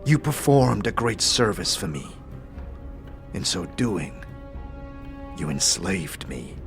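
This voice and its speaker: Man acting slow, low, solemn enslaved warrior. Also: very animated, music in the background, exaggerated voice. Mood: grave, sorrowful. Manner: grave, formal, archaic, halting phrasing. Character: weary, solemn enslaved warrior bound by oath.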